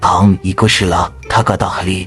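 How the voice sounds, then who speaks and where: A concerned father with a lowish voice who questions his young daughter, as a man plays him. He sounds plain and steady in a homemade storybook. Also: normal speed, faint music behind.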